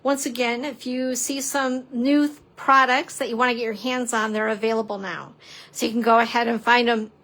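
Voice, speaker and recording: Slightly high-voiced woman demoing card making, chatty, giggles at herself, voice going a bit hoarse. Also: steady tone, normal speed, a bit bright. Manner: chatty, giggly, self-deprecating, exclamatory. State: hoarse, losing her voice. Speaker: papercrafting instructor. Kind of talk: live-stream craft demonstration.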